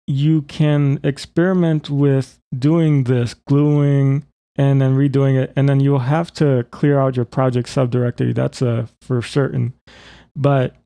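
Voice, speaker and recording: Male tutorial presenter, informal, thinking aloud, mellow delivery with noticeable vocal fry. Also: mid-range voice, no room sound. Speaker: music software tutorial presenter. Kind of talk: casual spoken software demonstration.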